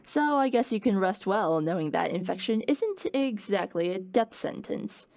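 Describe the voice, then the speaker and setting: Creaky-voiced, playful woman professor acted out. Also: mid-range voice, animated, normal speed, a little mellow, no echo. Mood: wry, reassuring. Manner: playful, wry, conversational, explains plainly. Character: playful, wry professor giving an unasked-for office-hours lecture. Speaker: lecturer. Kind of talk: scripted educational lecture in character.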